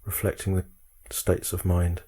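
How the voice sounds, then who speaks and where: A man guiding a meditation, speaking calmly in measured short phrases with frequent pauses. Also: low-pitched, breathy edge. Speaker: meditation guide. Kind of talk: guided meditation instruction.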